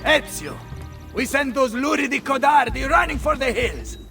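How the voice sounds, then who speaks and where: Loud-hearted italian mercenary boss, high voice swinging all over, bombastic game acting. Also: male voice, animated, moderate pace, gravelly, heavily acted. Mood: boastful, hearty. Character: boisterous, blustery Italian mercenary captain, middle-aged man. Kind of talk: video game dramatic acting. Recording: long reverb under loud game music.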